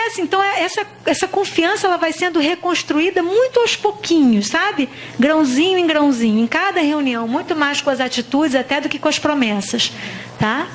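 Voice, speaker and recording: A woman's voice: high-pitched, slight background noise. A woman in her forties with a Brazilian accent, lecturing in a large auditorium and explaining patiently with frequent tag questions. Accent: Brazilian Portuguese. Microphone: handheld microphone.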